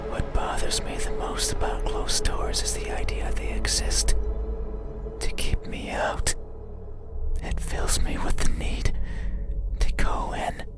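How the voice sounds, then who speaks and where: An actor voicing a creepy, obsessive wandering creature of the night in a breathy, hushed whisper for a horror game monologue. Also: natural voice. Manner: slow, hushed, deliberate, musing in questions. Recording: eerie horror music behind the voice.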